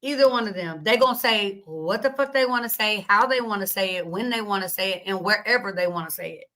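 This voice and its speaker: Slightly raspy woman chatting on a live stream. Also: mid-range voice, a little fast, sharp tone, low-quality recording. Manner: blunt, profane, rambling, laughs often. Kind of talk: casual live stream chat reading comments.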